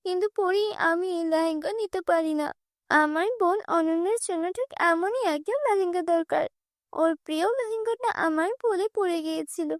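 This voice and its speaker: A humble young cartoon heroine, played by a woman, with a very high voice and a dry, close sound. She speaks earnestly and pleadingly, like a good-hearted girl who is put upon. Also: normal speed, no echo. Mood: bewildered. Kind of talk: children's fairy-tale cartoon dub.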